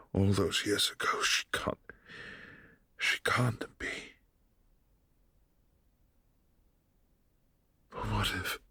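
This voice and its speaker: A man playing a possessive, imperious vampire prince in a boyfriend ASMR roleplay, speaking in a formal, commanding, softly menacing way. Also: on the low side, creaky, breathy edge, no room sound, studio quality, heavily acted. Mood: stunned.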